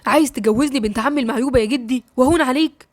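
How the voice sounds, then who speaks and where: A woman reads an angry young man who protests being forced to marry. His lines come quick and indignant, close-miked and dry, like an audiobook. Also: high voice, no room sound, lightly acted.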